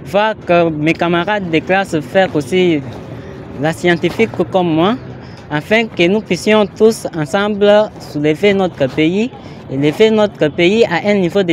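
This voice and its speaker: A teenage boy, a top exam student, answering interview questions in an earnest, measured way. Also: high voice, a little hiss or hum. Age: late teens.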